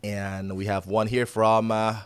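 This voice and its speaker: A man's voice: on the low side, flat delivery, measured pace, clean tone, dry recording. A man hosting a casual football talk show, hesitant with many filler words.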